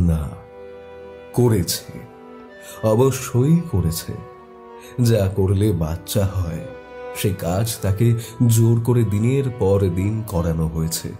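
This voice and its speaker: A man narrating a scripted horror story in a dramatic, measured way with weighty pauses. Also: mid-range voice, mellow.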